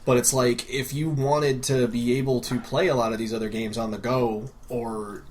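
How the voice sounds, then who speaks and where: A man's voice: mid-range voice, level tone, moderate pace, a little mellow. A man chats on a podcast panel in a mid-range voice. He explains his points in a conversational way. There is faint background noise and room ambience. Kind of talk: casual group discussion about video games.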